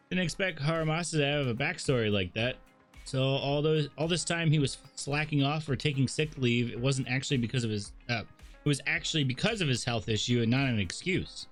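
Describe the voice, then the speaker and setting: A man's voice: fairly high pitch, a bit bright. A man livestreaming a game with casual, informal commentary, reacting aloud to the story. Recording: stream with background music.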